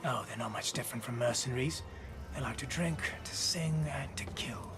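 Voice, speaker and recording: An actor playing a shrewd, hardened mercenary leader in a video game, dry and measured. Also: male voice, mid-range voice, over loud music, natural voice. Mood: dry, wry. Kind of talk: video game cutscene acting.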